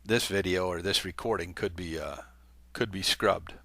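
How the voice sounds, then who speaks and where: A man's voice: sits low, normal speed, creaky, bright, forceful tone, no echo. A man hosting an online talk channel, reading a long letter aloud to his viewers in a conversational way, with fillers and small self-corrections. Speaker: video channel host.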